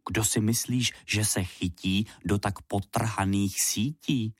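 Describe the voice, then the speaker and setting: A man's voice: lowish voice, steady tone, breathy edge, no echo, clean studio sound. A man voicing a stern father spider who scolds his child in a rhymed children's poem. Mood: scolding. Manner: scolding, rhyming recitation.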